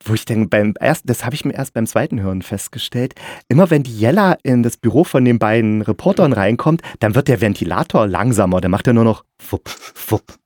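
A man's voice: mid-range voice, lively intonation, brisk, few pauses, darkish tone, no echo, clean studio sound. A man hosting an interview in casual chat, talking informally and a little tentatively. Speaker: podcast host, interviewer.

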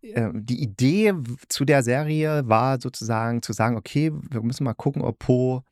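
A middle-aged man from East Germany, interviewing in a casual, halting way and thinking aloud in unfinished phrases. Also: medium pitch, darkish tone, dry recording, studio quality.

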